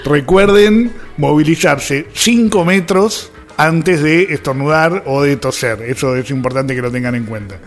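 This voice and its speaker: A man's voice: a little high, measured pace. A man with a Buenos Aires Argentine accent, co-hosting a radio show with casual, chatty commentary. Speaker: radio co-host.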